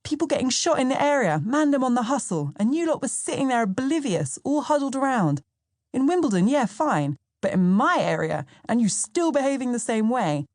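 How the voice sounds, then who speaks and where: A woman's voice: a little high, a little mellow, no room sound, studio quality, voice pushed up. A woman voicing an incredulous, streetwise young London man with slangy, emphatic speech in an audiobook memoir.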